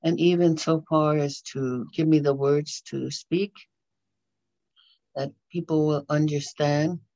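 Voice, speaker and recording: An older woman whose first language is Dakota talks into a webcam from a small bedroom, measured and halting, with frequent pauses between phrases. Her voice has a gravelly grain. The sound is dry and a little dull, typical of a lo-fi video call. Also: deep voice, steady tone, mellow, no echo. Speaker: community presenter. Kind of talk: prayer and talk.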